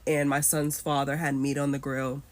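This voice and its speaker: A woman's voice: very deep voice, level tone, moderate pace. A woman in her thirties telling a personal story candidly, swearing freely. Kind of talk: personal story told to camera.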